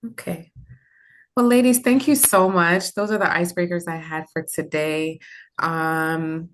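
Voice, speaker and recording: Ghana woman hosting a video call, lowish voice, slow and gentle. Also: steady tone, a little hiss or hum. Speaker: host. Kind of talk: casual group video call.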